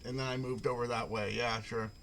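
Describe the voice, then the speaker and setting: A man's voice: medium pitch, little pitch movement, fairly quick, creaky, a bit bright, a little hiss or hum. Man reading his own fiction, hesitant asides. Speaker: writer reading own short fiction at an online poetry event. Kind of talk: informal literary reading with casual asides. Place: online video call.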